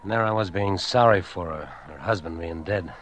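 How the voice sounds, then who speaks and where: A man's voice: deep voice, normal speed, close to an everyday voice. An actor playing a plain-spoken village man who witnesses a death in a 1940s radio thriller. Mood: shocked, relieved. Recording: noisy vintage radio, slightly distant.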